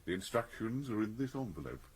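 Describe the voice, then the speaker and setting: An actor playing a formal, faintly menacing envoy of a Victorian duke in a radio drama, clipped and imperious. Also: male voice, on the low side, very animated, brisk, noisy background. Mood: brusque. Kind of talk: period radio drama acting.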